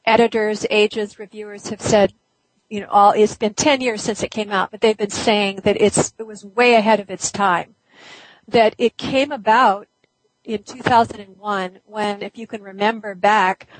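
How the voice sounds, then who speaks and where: A woman hosting her own healing talk show, slightly hoarse today, speaking in an earnest, chatty way with small restarts. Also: medium pitch, steady tone, moderate pace, no echo, poor audio. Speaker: radio show host. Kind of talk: casual solo talk show.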